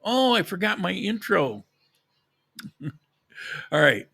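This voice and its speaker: A man's voice: sits high, very animated, slow, gravelly, background noise. A man hosting a live podcast, talking informally and directly to his audience. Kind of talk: casual livestream talk to viewers.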